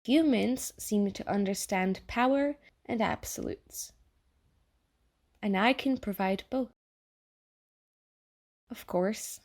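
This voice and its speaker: A woman playing a chatty, falsely friendly AI that lives in someone's mind, coaxing and quietly menacing in an audio theatre monologue. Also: a little high. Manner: chummy, casual, coaxing, passive-aggressive.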